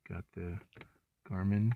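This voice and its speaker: A man narrating a hands-on install in a casual, low-key way, muttering to himself as he works. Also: level tone, slow pace, vocal fry, dry recording. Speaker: vlogger doing truck accessory installs. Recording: muffled lo-fi audio, faint noise, clicks.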